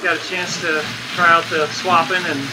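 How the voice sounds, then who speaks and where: A man's voice: a little high, flat delivery, normal speed, heavy background noise. A man in his early fifties, the ride organizer, chatting casually and thanking the group. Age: 50s. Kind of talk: casual chat.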